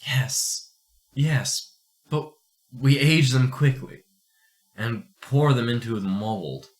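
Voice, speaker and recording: A man's voice: medium pitch, slow pace, pitched below the performer's own voice, close to an everyday voice. A man playing an earnest, strict astronomer in an amateur radio play, speaking formally and emphatically. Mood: disapproving. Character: earnest, agitated astronomer pleading for change, fatherly and strict.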